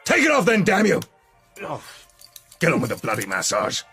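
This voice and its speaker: An actor playing a pompous, irritable count in a radio drama. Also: male voice, fairly high pitch, wildly swooping pitch, moderate pace, pushed and bright, soft background music, exaggerated voice. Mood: angry, imperious. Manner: pompous, imperious. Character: haughty, irritable aristocratic male count, a villain.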